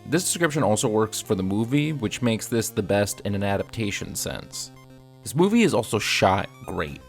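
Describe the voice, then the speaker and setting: A man's voice: fairly low pitch, sing-song, moderate pace, creaky, soft background music. A man narrating a scripted video review in a casual, opinionated manner. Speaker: film reviewer.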